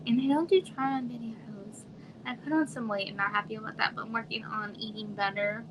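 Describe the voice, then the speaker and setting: A soft-spoken woman from Arizona, with a somewhat high voice, chats casually with viewers from home. She trails off and now and then sinks to a near whisper. Steady hiss and room noise sit under the livestream audio. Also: brisk, rough recording. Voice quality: quiet voice. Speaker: livestream host. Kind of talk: casual live chat with viewers.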